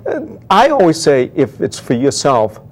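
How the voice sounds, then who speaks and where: A man's voice: medium pitch, wildly swooping pitch, moderate pace. A man speaking conversationally as an expert guest in an interview, explaining things with enthusiasm. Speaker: guest antiquarian book expert.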